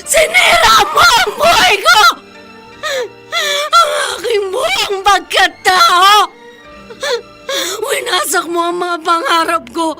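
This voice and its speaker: An actress playing a grieving, bitter young daughter in a melodramatic radio drama, tearful and anguished. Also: female voice, extremely high pitch, lively intonation, takes it slowly, sharp tone, shouting, faint music behind, heavily acted. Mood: anguished rage.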